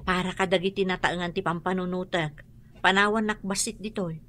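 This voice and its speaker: A woman's voice: fairly low pitch, steady tone, fairly quick, sharp tone. A woman with a Filipino accent playing a preoccupied, touchy mother in a radio drama.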